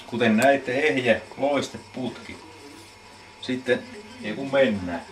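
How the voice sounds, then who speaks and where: A man giving a casual, deadpan, step-by-step demonstration as an amateur video maker. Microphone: camcorder microphone. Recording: noisy low-quality old camcorder audio.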